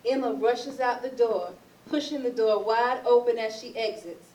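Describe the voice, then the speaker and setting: A woman's voice: on the high side, moderate pace, darkish tone. A woman narrator reading stage directions as scripted narration for a theatre reading. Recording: echoey, distant, noisy stage recording.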